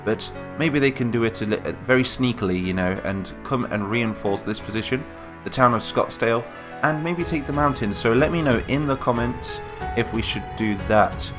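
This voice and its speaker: A man's voice: mid-range voice, normal speed, music in the background. A man with a cold and a nasal voice, giving chatty, rambling commentary over a battle-simulation game and correcting himself as he goes. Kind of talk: casual gameplay commentary.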